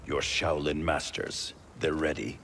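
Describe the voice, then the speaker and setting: Very deep, gravelly stern warrior. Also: male voice, little pitch movement, a little slow, heavy background noise. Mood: grim. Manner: gruff, suspicious, formal phrasing. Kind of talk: video game cinematic acting. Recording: loud cinematic music behind.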